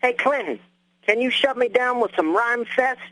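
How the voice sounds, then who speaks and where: High-voiced eager male radio caller. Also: normal speed, a bit bright, rough recording. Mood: casual, eager. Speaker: radio call-in listener. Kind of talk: casual phone call-in.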